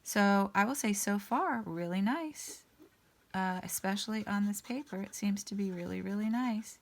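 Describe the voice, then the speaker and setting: A woman hosting a casual coloring session, a little raspy as she gets over an illness, thinking aloud in an unhurried way with many ums. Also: medium pitch. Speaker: artist and channel host. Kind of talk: casual color-along chat.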